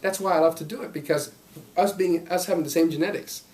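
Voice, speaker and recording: A man's voice: on the high side, steady tone, fast talker, a little mellow. A young man in his twenties, raised partly in Belgium, talking about his acting career as a guest in an interview. He speaks in an upbeat, rambling way, laughing often. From Belgium and Los Angeles. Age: 20s. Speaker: actor guest. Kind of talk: casual interview talk.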